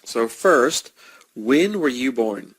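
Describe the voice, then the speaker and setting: A man's voice: medium pitch, expressive, slow pace, a bit bright, husky, dry recording, poor audio. A man in his fifties chatting in a simple question-and-answer conversation. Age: 50s.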